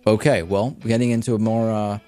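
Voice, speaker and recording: A man's voice: fairly low pitch, steady tone, brisk, dark tone, soft background music. An American man hosting a talk show, giving conversational commentary and reading out audience mail.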